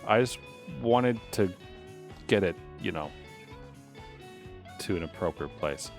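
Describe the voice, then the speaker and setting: A man with a low voice talks through his work on a live coding stream. He is casual and unscripted, wandering from thought to thought as he reasons aloud. Soft guitar music plays faintly behind him. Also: expressive, slow pace. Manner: rambling, thinking aloud.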